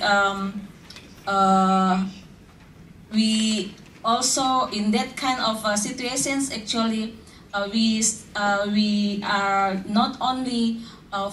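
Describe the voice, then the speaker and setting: A woman from Indonesia, a panel guest, answering slowly with many fillers and restarts as she searches for words. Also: medium pitch, a bit bright. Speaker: panel guest, community activist. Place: conference hall. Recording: roomy hall, distant mic, faint noise.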